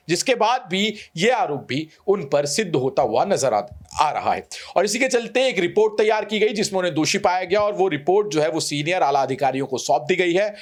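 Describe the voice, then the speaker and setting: A man with a fairly high voice presents as a news host. He explains things in a wordy, explanatory manner, restating points as he goes. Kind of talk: Hindi news presenting and discussion.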